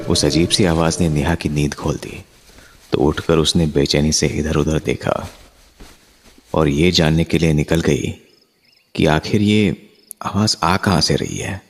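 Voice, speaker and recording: A man's voice: a bass voice, steady tone, a little slow, pauses often, exaggerated voice. An actor playing an easygoing, dismissive husband and young father in a horror audio drama, speaking colloquially and telling the story. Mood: hushed storytelling. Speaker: actor and first-person narrator. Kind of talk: Hindi horror audio drama, acted dialogue and narration.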